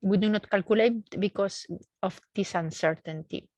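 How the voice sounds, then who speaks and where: A woman's voice: medium pitch, moderate pace, dark tone, no room sound. A woman research engineer giving a technical explanation in a seminar, searching for words and often repeating them as she goes.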